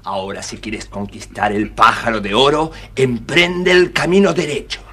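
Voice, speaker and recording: A man voicing a clever, bossy fox who gives sly, emphatic instructions in a husky voice, in a children's story. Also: mid-range voice, steady tone, moderate pace, fairly bright tone. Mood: encouraging, warning. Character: clever, bossy, helpful fox guiding a prince. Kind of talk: recorded children's storybook dramatization.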